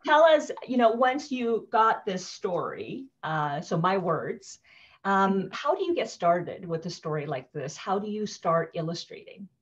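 A woman's voice: mid-range voice, sing-song, measured pace. A woman author in her thirties chatting casually about her picture book over a video call, thoughtful, with many fillers. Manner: warm, thoughtful, many ums and you-knows. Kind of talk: casual live book talk. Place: living room with fireplace. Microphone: laptop webcam video call. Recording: dull lo-fi video call audio.

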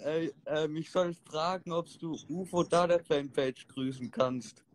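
A man's voice: fairly high pitch, unhurried, slight background noise, rough recording. A young man from Munich calling in to a livestream as an eager fan, hesitant and full of fillers. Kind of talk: casual fan chat. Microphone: phone in a livestream call.

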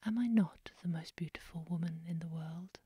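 A woman softly whispering the lines of a vain and cruel jealous queen. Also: fairly low pitch, moderate pace, husky, no room sound, clean studio sound. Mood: anxious. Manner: whispered, scheming and sly.

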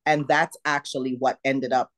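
A middle-aged woman from Jamaica with a mild Jamaican accent, telling her story animatedly as a guest on a video call interview. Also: normal speed. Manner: animated, jumps high to act out her own past words. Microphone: video call webcam.

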